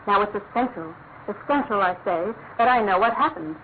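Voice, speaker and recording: A woman's voice: mid-range voice, expressive, moderate pace, close to an everyday voice. Woman playing anxious British noblewoman, tinny recording. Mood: anxious, pleading. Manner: formal, pleading, emphatic. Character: anxious, insistent aristocratic lady, a politician's wife. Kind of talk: radio drama acting. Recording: tinny narrow-band radio recording with noise.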